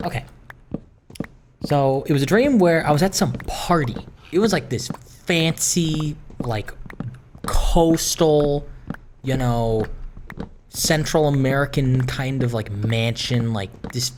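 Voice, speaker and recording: A man hosting a gaming video, rambling casually in a halting, self-conscious way with some swearing. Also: mid-range voice, darkish tone, a little hiss or hum. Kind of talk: casual rambling chat while playing.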